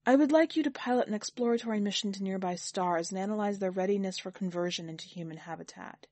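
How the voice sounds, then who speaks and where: A woman reads as a calm machine intelligence in an audiobook story. It speaks formally and evenly, with grave weight, in a dry, close recording. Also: medium pitch, fast talker, no room sound, natural voice. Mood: grave, measured. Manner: formal, even delivery.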